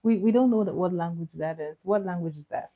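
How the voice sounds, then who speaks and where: A woman with Igbo as her chats easily with her livestream viewers in a lowish voice, giggling and doubling back on her words. The sound is dull and lo-fi, like a home stream with a basic mic. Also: fairly quick. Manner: chatty, giggly, repeats words. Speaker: livestream host. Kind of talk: casual chat with viewers.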